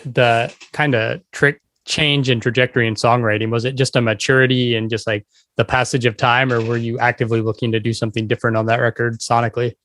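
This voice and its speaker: A man hosting a podcast, asking relaxed interview questions and nodding along with short affirmations. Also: mid-range voice, fast. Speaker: podcast host.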